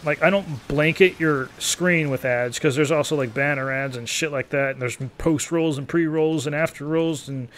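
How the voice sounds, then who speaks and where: A man's voice: fairly high pitch, normal speed, hardly any pauses, a bit bright. A man in his thirties giving casual, rambling gameplay commentary as a YouTuber, in an easygoing, take-it-or-leave-it manner. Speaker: gaming YouTuber.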